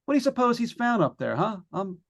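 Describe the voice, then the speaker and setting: A man's voice: sits high, sing-song, creaky, heavily acted. A man playing a nervous, lonely radio broadcaster unravelling in a haunted house, stammering and rambling in 1940s radio suspense style. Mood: nervous chatter. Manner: stammering, halting, rambling with repeated words. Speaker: actor in old-time radio play. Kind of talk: 1940s radio suspense acting, live monologue.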